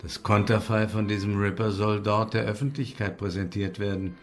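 A man's voice: on the low side, fairly even intonation, normal speed, faint music behind, close to an everyday voice. An actor playing an unsettling pious male lodger in a German radio play, with a formal, insinuating, theatrical manner. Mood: sly, probing.

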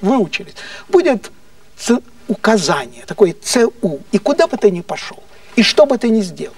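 Мужчина изображает слегка пьяного советского партийного начальника, который покровительственно и насмешливо угрожает, повторяясь и переспрашивая. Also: male voice, very high-pitched, no echo, far from the mic. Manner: patronizing, repetitive, insinuating questions. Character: menacing, mocking Soviet party official, slightly drunk.

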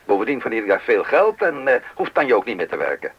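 A man's voice: medium pitch, big pitch swings, quick pace, vocal fry, breathy edge, heavily acted. An actor playing a determined father, earnest and persuasive, in a Dutch radio play. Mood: persuasive, eager. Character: determined, ambitious engineer father. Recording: dull, faintly noisy old radio recording.

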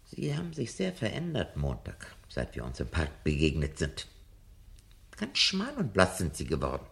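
A man's voice: extremely low pitch, huge pitch swings, moderate pace, gravelly, fairly bright tone, exaggerated voice. An actor playing a disillusioned elderly bookish man in a German radio drama, speaking in a theatrical, ruminative and sardonic way. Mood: probing, earnest. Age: older.

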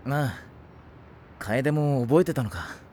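A man's voice: mid-range voice, slow pace, darkish tone, slight background noise, close to an everyday voice. An actor playing an easygoing, kind teenage boy in an anime audio drama, speaking casually and plainly. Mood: nostalgic, gentle.

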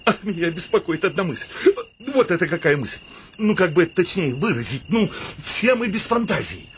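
An anxious, sentimental suitor in a radio farce. His high voice swings about as he stammers nervously in theatrical style. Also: animated, normal speed, a bit bright, a little hiss or hum. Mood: fretting. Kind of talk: theatrical comic radio play acting.

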